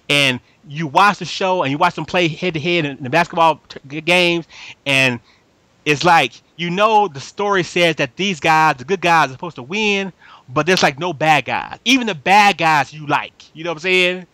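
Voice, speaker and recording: A man's voice: fairly high pitch, bright, forceful tone, no echo. A man hosting a casual fan chat, talking emphatically in slangy speech, repeating himself and often checking that listeners follow. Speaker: podcast host reviewing anime.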